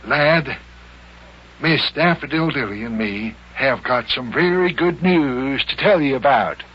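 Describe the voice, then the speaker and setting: An actor playing a jovial old small-town mayor in love, with folksy, flustered, flowery talk in an old-time radio comedy. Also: male voice, medium pitch, expressive, measured pace, husky. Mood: giddy, doting. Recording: muffled old radio show recording.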